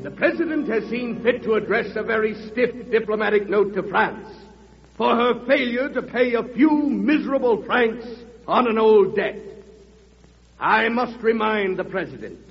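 An actor playing an indignant senator, declaiming pompously in a 1940s radio drama. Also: male voice, sits high, animated, moderate pace, faint music behind. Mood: indignant, scornful. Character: indignant, grandstanding senator making a speech. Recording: muffled vintage radio, reverberant hall.

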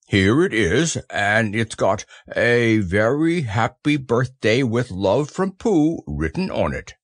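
A man's voice: medium pitch, very animated, slow pace, dry recording, clean studio sound. A man voicing a friendly, simple-minded male teddy bear in a children's story, earnest and cheerful. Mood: cheerful, eager. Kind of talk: children's storybook character reading.

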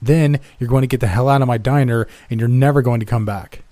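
A man voicing a bullying older male diner owner who yells, curses and sneers. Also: mid-range voice, slow, no room sound, voice pushed up. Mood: furious. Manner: yelling, cursing, contemptuous.

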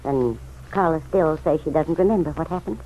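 An actress playing a kindly, steadfast middle-aged farm woman in a vintage radio serial, speaking in a measured, earnest way. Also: low voice, natural voice. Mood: concerned, probing. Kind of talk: 1940s radio serial acting. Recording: muffled, hissy vintage radio recording.

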